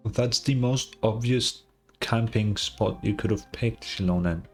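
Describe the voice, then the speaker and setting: A man's voice: fairly low pitch, measured pace. A man giving casual, offhand reaction commentary as he streams a game. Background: game music.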